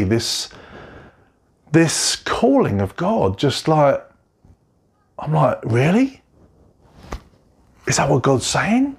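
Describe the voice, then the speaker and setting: A pastor, telling his story in a reflective, halting way and often correcting himself. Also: a little high, darkish tone. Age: 40s. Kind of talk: personal testimony sermon.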